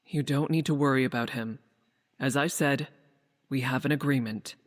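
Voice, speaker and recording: An actor playing a guarded, secretive leader in a fantasy game, calm and deliberate, with long reverb on the voice. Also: male voice, mid-range voice, lightly acted.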